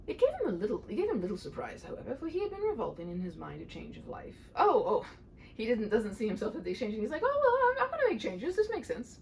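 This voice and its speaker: A woman's voice: medium pitch, vocal fry, slight background noise, poor audio. A woman reading a storybook aloud expressively, with chatty, casual asides. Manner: animated, chatty when stepping out of the text. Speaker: audiobook reader and host of the channel.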